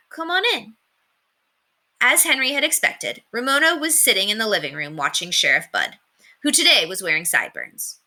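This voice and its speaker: A woman's voice: mid-range voice, pushed and bright. A woman narrating a children's story aloud from a book.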